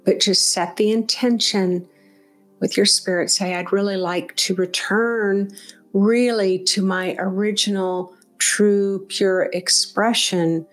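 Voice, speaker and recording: A woman leading a guided meditation as a teacher, speaking off the cuff in a gentle, encouraging, conversational way. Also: mid-range voice, fairly even intonation, slow, soft background music.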